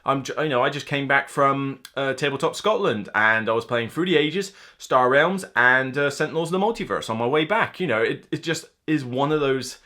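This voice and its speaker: A man's voice: medium pitch, lively intonation, moderate pace, hardly any pauses, bright, forceful tone. A man in his thirties talking to camera as a board game reviewer, casual and enthusiastic, rambling with emphatic delivery. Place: indoor room lined with game shelves.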